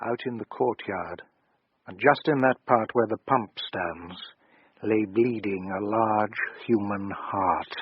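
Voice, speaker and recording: Solemn gothic reader, raspy, deliberate slow pace, dramatic literary narration, close dry sound. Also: male voice, on the low side, dry recording. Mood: grave, macabre. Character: grave male storyteller reading lurid gothic crime prose. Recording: dry, slightly dull radio recording.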